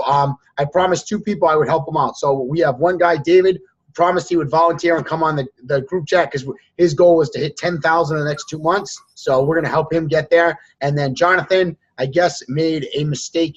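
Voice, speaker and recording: Hoarse man with laryngitis, dull call audio. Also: fairly high pitch. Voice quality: husky, strained. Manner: blunt, lecturing. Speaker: course teacher hosting a live group call. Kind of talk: casual coaching chat. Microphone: online call. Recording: dull lo-fi sound.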